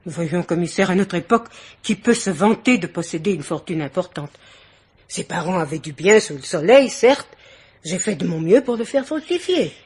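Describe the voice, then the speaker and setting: Husky, haughty bourgeois aunt. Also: female voice, fairly low pitch, animated, normal speed, darkish tone. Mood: modest, evasive. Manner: polite, haughty, carefully articulated indignation. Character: dignified bourgeois aunt and guardian, guarded, haughty, easily offended. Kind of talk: French radio mystery play acting.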